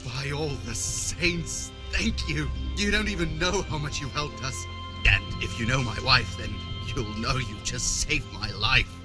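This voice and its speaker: A male actor as a poor medieval refugee in a game, anxious and humble. He speaks at a middling pitch in a hesitant, confiding way, under loud music. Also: a little slow, close to an everyday voice. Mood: grateful. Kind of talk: video game character dialogue.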